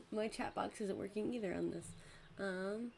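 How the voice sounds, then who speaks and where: A woman's voice: medium pitch, a little slow, vocal fry, a little mellow, a little hiss or hum. Giggly young woman, slightly worn voice. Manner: giggly, laughs often between words. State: losing her voice. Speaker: podcast host and VTuber. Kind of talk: casual streamed chat.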